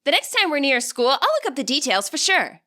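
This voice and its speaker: A woman voicing an eager, earnest and polite teenage girl in an audiobook story, chatty and animated. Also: high-pitched, a little fast, voice pushed up, heavily acted. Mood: earnest, apologetic.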